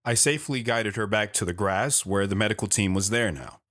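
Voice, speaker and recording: A man's voice: fairly low pitch, fairly quick, a bit bright, close to an everyday voice. A man playing a plain-spoken guy recounting his youth as first-person storytelling in an audio drama, earnest and matter-of-fact. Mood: earnest narrating.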